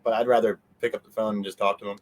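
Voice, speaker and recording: A man's voice: on the low side, little pitch movement, quick pace. A man being interviewed, talking in a casual, rambling, conversational way. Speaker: interview guest, convention organizer.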